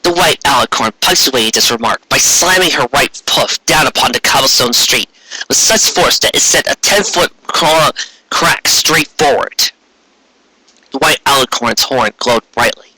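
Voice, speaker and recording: A man's voice: medium pitch, a little slow, pushed and bright, breathy edge, dry recording, rough recording. A man reading a scripted fantasy story aloud in dramatic narration, now and then stumbling over words. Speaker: narrator reading fan fiction.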